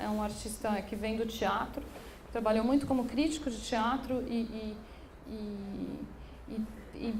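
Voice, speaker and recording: Lady giving a talk, slightly high voice, lots of um's and restarts, echoey room. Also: a little mellow. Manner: hesitant, many restarts and fillers. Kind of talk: public lecture. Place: room in an occupied building. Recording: echoey room, faint background noise.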